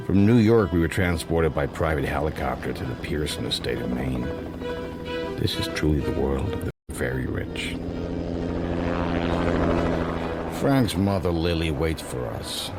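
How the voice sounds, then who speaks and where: A very low-voiced, secretive male criminal narrates a radio drama. He is measured and wryly understated, keeping cool throughout. Music plays clearly behind him. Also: animated. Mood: wry, composed. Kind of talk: radio drama, first-person narration and dialogue.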